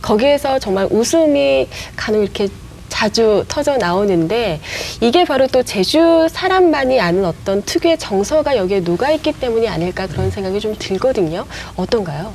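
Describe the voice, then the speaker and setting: A woman hosting a broadcast talk show, asking her guests questions in a measured, polished way. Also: fairly high pitch, lively intonation, a little mellow, a little hiss or hum. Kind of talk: broadcast studio interview. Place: TV studio.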